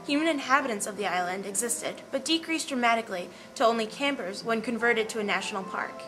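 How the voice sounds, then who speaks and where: A woman's voice: a little high, fast talker, fairly bright tone, soft background music. A woman reading a scripted informational narration. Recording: noisy amateur recording with clear background noise.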